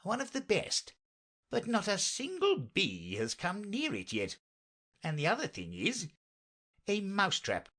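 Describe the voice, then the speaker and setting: A man's voice: sits high, huge pitch swings, a little slow, pushed and bright, voice pushed up, full character voice. A man voicing an odd, earnest old knight from a storybook, fussy and emphatic in his explanations. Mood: discontented.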